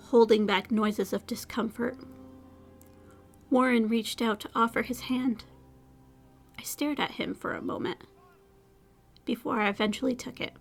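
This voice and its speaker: A woman playing a sardonic, weary and emotionally raw young woman in a scripted audio drama. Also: fairly high pitch. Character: defiant, weary young woman, sarcastic survivor. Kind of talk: scripted audio drama acting with first-person narration.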